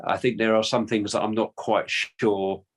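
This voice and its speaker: A man's voice: lowish voice, level tone, moderate pace. A man with a London accent answering interview questions casually, hesitant with many restarts and fillers. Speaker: guest, descendant of a Titanic crewman.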